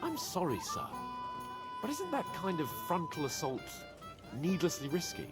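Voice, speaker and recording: A man's voice: fairly high pitch, wildly swooping pitch, moderate pace, over loud music. An actor playing a proud, skeptical young nobleman in a game cutscene, sardonic and mock-polite. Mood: doubtful, polite. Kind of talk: video game cutscene acting.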